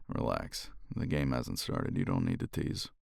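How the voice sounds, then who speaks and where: A man's voice: rumbling low, gravelly, dry recording, clean studio sound, exaggerated voice. A man playing a cocky, weary champion competitor in an intimate romance ASMR roleplay, flirtatious and teasing, with languid sighs and murmured asides. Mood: relaxed, weary.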